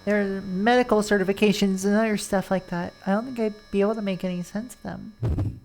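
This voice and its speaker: A woman's voice: medium pitch, steady tone, a little fast, a little mellow, a little hiss or hum, low-quality recording. A woman game streamer with a very nasal, congested voice, chatting casually and reading game text aloud in the first person. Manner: reads game lines plainly in first person, breaking off to chat. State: congested from a winter cold. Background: faint game music.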